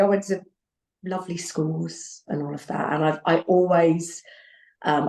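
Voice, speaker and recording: A woman in her forties with an English accent, chatting as a podcast guest, lively, laughing often and repeating herself. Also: fairly low pitch. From England. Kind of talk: casual conversation. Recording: echoey room.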